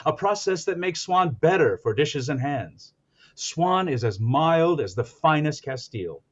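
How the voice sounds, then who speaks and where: A man's voice: fairly high pitch, sing-song, measured pace, dull audio. A man playing an eager radio announcer who hams up a society husband, with grand melodramatic diction in 1940s radio comedy style. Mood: melodramatic pleading.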